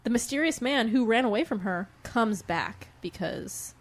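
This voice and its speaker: A woman's voice: a little high, animated, moderate pace, slight background noise. A woman co-hosting a podcast, recapping a book in casual, chatty banter with jokey asides. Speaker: podcast co-host.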